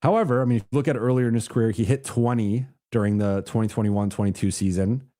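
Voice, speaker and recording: A man hosting a live basketball reaction stream, congested and losing his voice, talking casually with many halting restarts. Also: mid-range voice, dark tone. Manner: halting, with frequent restarts and "like" fillers. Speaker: basketball reporter hosting a livestream. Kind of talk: casual live reaction, reading super chats.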